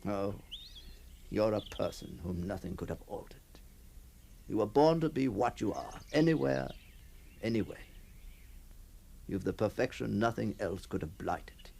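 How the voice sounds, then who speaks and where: A restless, middle-aged gentleman haunted by his other self in a radio ghost story. His ornate, theatrical phrasing swoops widely from brooding to fervent, with faint noise behind it. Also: fairly low pitch, expressive, unhurried, gravelly, pauses often. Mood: brooding regret. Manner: literary, intense, theatrical phrasing. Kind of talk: radio ghost-story acting and first-person narration.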